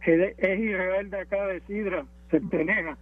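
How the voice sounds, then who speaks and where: Husky high-pitched Puerto Rican man on phone. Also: steady tone, moderate pace. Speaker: radio show caller. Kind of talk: political call-in talk. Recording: thin, narrow phone line.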